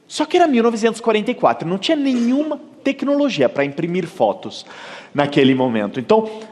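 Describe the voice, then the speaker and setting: A middle-aged man with an Italian accent, giving a conference keynote with animated storytelling, recorded with a stage microphone in a large hall. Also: high voice, fast. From Italy. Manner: animated storytelling, acting out quoted dialogue. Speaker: keynote speaker. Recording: long hall reverb.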